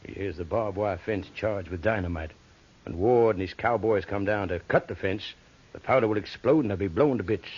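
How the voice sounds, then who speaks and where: A man playing an earnest, deliberate townsman in an old radio western drama. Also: on the low side, husky. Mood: conspiratorial. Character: earnest townsman scheming to help the hero. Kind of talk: 1940s radio western acting. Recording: muffled old radio recording with hiss.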